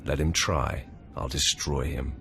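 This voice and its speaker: An actor playing an arrogant, menacing young Sith acolyte in a video game, cold, threatening and self-assured. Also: male voice, rumbling low, fairly even intonation, unhurried, sharp tone, faint music behind, exaggerated voice.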